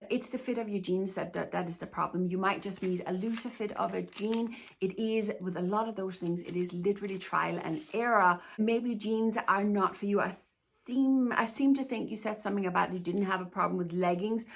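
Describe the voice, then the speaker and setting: A woman's voice: medium pitch, fairly quick, creaky, a little hiss or hum. Chatty Danish-born woman vlogger. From Denmark. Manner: chatty and reassuring, often says "to be honest with you". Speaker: plus-size fashion stylist and YouTuber. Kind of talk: casual Q&A vlog chat.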